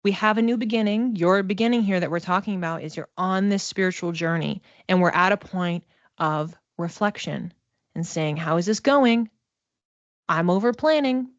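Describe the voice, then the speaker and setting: A woman's voice: medium pitch, no echo, lo-fi sound. A woman giving an informal spiritual card reading to one listener in a reassuring, conversational manner. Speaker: psychic oracle card reader and mentor.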